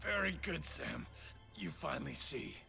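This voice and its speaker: An actor playing a smug, ruthless agency villain in a video game, with a taunting, condescending manner. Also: male voice, fairly high pitch, animated, unhurried, gravelly, heavily acted. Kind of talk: cinematic video game acting. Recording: faint music under the voice.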